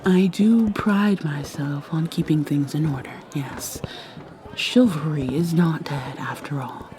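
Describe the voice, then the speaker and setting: A woman voicing an ageless, secretive female vampire mermaid in an ASMR roleplay, soft-spoken and often whispering, with halting restarts. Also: deep voice, slight background noise. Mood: tender.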